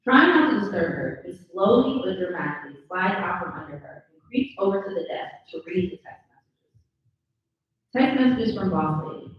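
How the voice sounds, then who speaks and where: A woman's voice: mid-range voice, sing-song, normal speed. A woman reading stage directions as the narrator of a live script table read. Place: live event in a room. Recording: very echoey room, distant, slightly dull.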